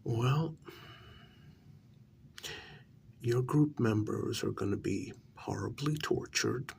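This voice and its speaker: A low, gravelly male vampire lord acted by a man in an ASMR role-play. He speaks in a breathy half-whisper with heavy creak, aristocratic and mock-polite. The threat is cold and deliberate, with faint hiss behind the voice. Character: menacing aristocratic male vampire master, coldly vengeful.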